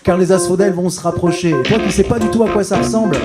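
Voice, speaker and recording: A man's voice: high voice, fairly even intonation, quick pace. A man performing a spoken song as a singer-songwriter. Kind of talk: spoken song over acoustic guitar. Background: plucked guitar music.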